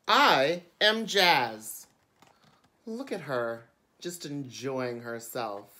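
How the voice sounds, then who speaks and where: Slow, bright-voiced drag queen, male. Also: mid-range voice. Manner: deliberate, expressive read-aloud with chatty asides. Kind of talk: children's picture-book reading. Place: indoors before a curtain.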